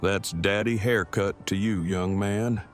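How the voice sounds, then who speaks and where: A man playing a formal, wordy, deadpan young father and husband in an audio drama, with precise phrasing. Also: fairly low pitch, takes it slowly, faint music behind. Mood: calm, reassuring. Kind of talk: fan audio drama acting.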